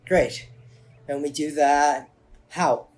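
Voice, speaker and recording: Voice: medium pitch, fairly even intonation, slow, darkish tone, faint music behind, close to an everyday voice. An actor playing a restless, earnest teenage wizard boy in an audio drama. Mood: impatient. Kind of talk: fan audio drama acting.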